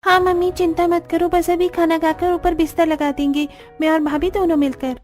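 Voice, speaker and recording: A woman's voice: very high voice, little pitch movement, moderate pace, a little mellow, soft background music. A woman voicing young village daughters-in-law, chatty and complaining, in a Hindi cartoon story.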